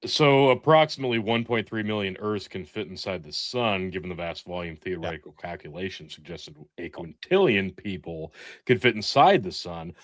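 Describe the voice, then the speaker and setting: A man with a lowish voice trades casual jokes as a podcast co-host. His intonation swings widely and he plays things mock-serious, recorded dry and close. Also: no echo. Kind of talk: casual comedic banter.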